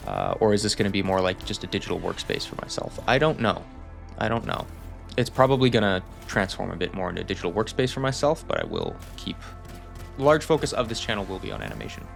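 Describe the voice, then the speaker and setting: Low gravelly man giving a rambling update. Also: a bit bright. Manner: rambling, self-deprecating, reassuring. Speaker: channel host. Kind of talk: casual channel update monologue.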